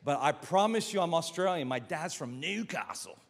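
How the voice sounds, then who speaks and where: A man with an American accent preaching a lively, joking sermon as a guest pastor, often stumbling and repeating words. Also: fairly high pitch, moderate pace, gravelly, in a large hall. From Philippines.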